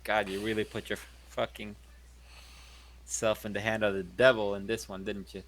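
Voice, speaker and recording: A man's voice: on the low side, flat delivery, moderate pace, fairly bright tone, slight background noise, rough recording. A man playing a tabletop game in character, brash and blunt, cursing freely. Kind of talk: in-character roleplay chat.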